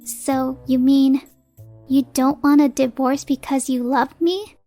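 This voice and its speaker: Woman acting a high-voiced, fed-up young wife. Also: steady tone, slow pace, mellow, faint music behind. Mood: cold, incredulous. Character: fed-up young working wife and mother, determined, blunt. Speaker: narrator and lead character. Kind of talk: manga dub, narration and dialogue.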